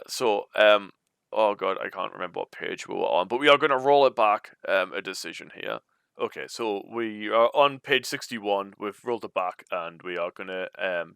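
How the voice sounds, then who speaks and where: A man with a bright, forward voice reads a gamebook aloud as its host. He mixes storybook narration with informal, chatty asides, in a dry, close-sounding recording. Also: fairly low pitch, vocal fry, clear, resonant tone, no echo. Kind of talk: storybook read-aloud with casual commentary.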